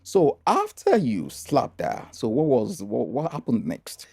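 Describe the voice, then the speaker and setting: A man's voice: medium pitch, wildly swooping pitch, unhurried, vocal fry, warm tone, no echo. A man hosting an interview, asking probing questions and reacting with short remarks. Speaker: interviewer, host.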